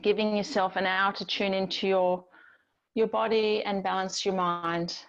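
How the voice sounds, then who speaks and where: A woman yoga teacher speaks very slowly at a medium pitch, gently guiding breath and body awareness. She leaves long, soothing pauses between phrases. The recording sounds slightly dull and lo-fi. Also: flat delivery. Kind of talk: guided yoga and relaxation instruction.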